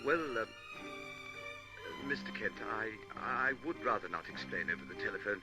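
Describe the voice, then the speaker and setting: Man playing a nervous, stammering gentleman. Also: lowish voice, expressive, normal speed, close to an everyday voice, rough recording. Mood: flustered, worried. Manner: stammering, hesitant, formal. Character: nervous, polite, hesitant gentleman client. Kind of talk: old-time radio drama acting. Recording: old radio recording with music.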